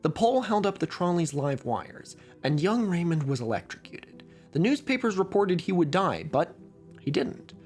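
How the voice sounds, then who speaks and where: Documentary narrator, male, from Oregon; wry, lively delivery over light music. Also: moderate pace. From Oregon, USA. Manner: wry, conversational storytelling with lively pitch swings.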